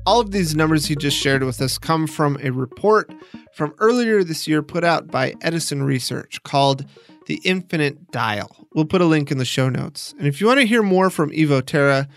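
A man's voice: on the high side, lively intonation, normal speed, soft background music. A man hosting and narrating an audio documentary in a scripted style. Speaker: podcast documentary host and narrator.

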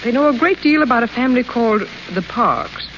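A woman's voice: on the high side, animated, a little fast, noisy background, lightly acted. An actress playing a haughty, scheming rich young woman in 1930s radio acting, cool and commanding, on a muffled old radio broadcast. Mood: sly.

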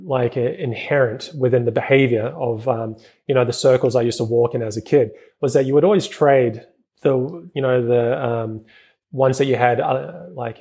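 Dark, husky-voiced New man. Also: medium pitch. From New Zealand. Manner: rapid speech with many fillers and restarts. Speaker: guest, crypto investment executive. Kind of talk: interview answers.

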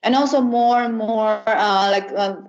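A woman from China with a Chinese accent, giving unscripted interview answers haltingly as she searches for words. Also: a little high, fairly even intonation, dull audio. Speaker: education accelerator representative, interview guest.

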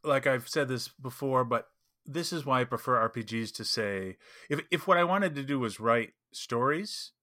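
A man with a bright, forward voice at an average pitch, talking as a podcast co-host. His delivery is casual conversation, captured dry with little room sound. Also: animated, moderate pace, no room sound. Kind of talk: casual podcast chat about role-playing games.

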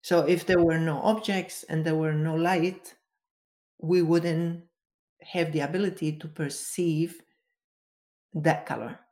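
A woman's voice: deep voice, steady tone, slow pace, long pauses, a little mellow. A woman from Venezuela, a guest giving careful, step-by-step explanations in an interview. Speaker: guest, color expert.

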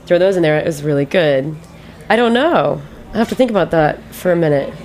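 A woman with a slightly low voice talks quickly as a guest in a casual studio chat. She is plain-spoken and informal, happy to throw in a mild swear. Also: lively intonation, a little mellow. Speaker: guest, ice cream company owner.

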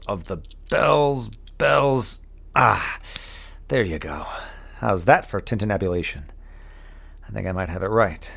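A man's voice: fairly low pitch, creaky, a bit bright, full character voice. A man playing a weary, bookish moonbase crewman who mutters wryly to himself in an audio drama log. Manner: muttering to himself, wry and brooding. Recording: background noise under the voice.

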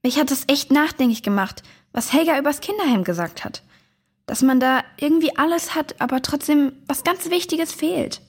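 A woman playing a lively, warm-hearted young girl in a children's audio drama. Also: high-pitched, normal speed. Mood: thoughtful.

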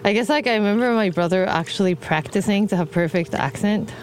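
A woman's voice: mid-range voice, fairly quick. A woman from Japan with a Japanese accent, heavily pregnant, chatting hesitantly with many fillers as a guest in a hot tub. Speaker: guest, film composer. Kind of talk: casual conversation. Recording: faint background noise.

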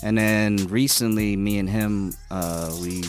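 A man from Boston, a music producer guest, talking casually in a podcast chat with many fillers and pauses. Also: on the low side, steady tone. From Boston, USA. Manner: hesitant, filler-heavy, modest.

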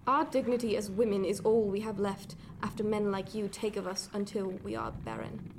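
Woman acting 18th-century gentlewoman, cold, haughty, bitter, formal, radio drama, noisy ship ambience. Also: medium pitch, expressive, normal speed. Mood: bitter, commanding. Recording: clear background noise, ship ambience.

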